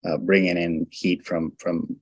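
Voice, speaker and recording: A low-voiced man answers interview questions on a hunting show. He hesitates often, restarting his sentences and stumbling as he works his way through each answer. The recording is dry and close. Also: level tone, measured pace, no room sound, low-quality recording. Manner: hesitant, many restarts and fillers.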